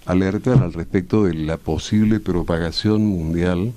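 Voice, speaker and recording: Deep, mellow Argentine male radio host. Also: unhurried. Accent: Argentine Rioplatense. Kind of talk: conversational radio interviewing.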